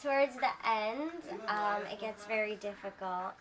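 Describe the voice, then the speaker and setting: A woman's voice: medium pitch, unhurried, fairly bright tone, poor audio. A woman in her twenties from Brooklyn, a former soldier, speaking sincerely and conversationally with some fillers. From Brooklyn, New York. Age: 20s. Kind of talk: earnest interview testimony.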